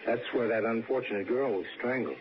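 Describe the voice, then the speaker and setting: A man's voice: mid-range voice, brisk, natural voice. An actor in a 1960s radio suspense play, playing an enigmatic, morbid male stranger who probes and insinuates with hesitant phrasing. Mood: insinuating. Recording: noisy muffled old radio recording.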